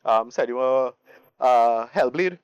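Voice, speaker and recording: A man's voice: medium pitch, takes it slowly, clear, resonant tone, no echo, highs cut off. A man giving casual podcast reviews, restarting phrases often and leaning on enthusiastic slang. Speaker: podcast co-host. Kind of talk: casual chat, reviewing favourite games.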